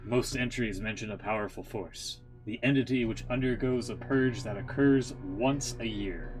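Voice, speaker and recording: A haunted scholar, played by a man reading aloud, recounts a grim horror-game journal. The delivery is measured and solemn at an average male pitch, with music underneath. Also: close to an everyday voice, low-quality recording. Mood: studious, ominous. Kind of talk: dramatic scripted narration.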